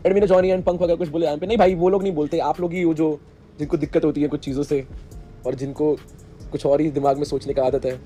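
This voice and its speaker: A man's voice: on the high side, fairly even intonation, a little fast, warm tone, faint music behind. A young man, an esports player, talking sarcastically and defensively on a stream from an indoor room.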